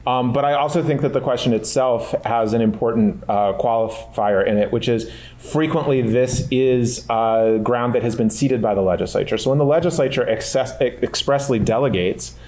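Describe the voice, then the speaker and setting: Man on a legal panel, halting and thoughtful. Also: medium pitch, darkish tone. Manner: thoughtful, many fillers and restarts. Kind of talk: panel discussion answer.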